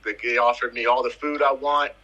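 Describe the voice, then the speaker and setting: A man's voice: medium pitch, level tone, brisk, bright, forceful tone, dull audio. A man in his thirties from the US Virgin Islands, a footballer telling his career story in a casual interview, emphatic and fond of repeating phrases. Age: 30s. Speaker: footballer guest.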